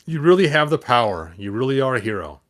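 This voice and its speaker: A friendly, polite robot out of a children's storybook, read by a man. He explains himself earnestly and helpfully in a mid-pitched voice. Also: very animated, fast talker, lightly acted. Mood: grateful.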